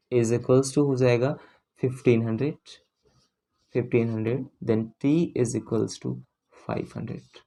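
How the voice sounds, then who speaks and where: A maths teacher works through exam problems in a mid-pitched voice. The delivery is explanatory and methodical, with each step and figure often said again before moving on. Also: a little mellow. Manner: explanatory, repeats figures and steps.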